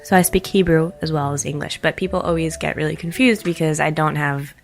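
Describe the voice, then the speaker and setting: Female interview guest from Israel, low and creaky, playful informal chat, faint music behind. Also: a little fast. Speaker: interview guest, jazz bassist. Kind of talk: casual chat.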